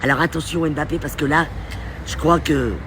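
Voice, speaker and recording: A man voicing a dim-witted old woman, talking slowly and hesitantly with lots of agreeing. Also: a little high, fairly even intonation, noisy background, lightly acted. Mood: agreeable.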